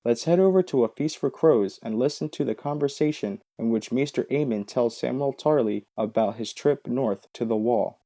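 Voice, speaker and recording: A man's voice: medium pitch, moderate pace, few pauses, mellow. A man hosting a video with scripted explainer narration. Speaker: video host.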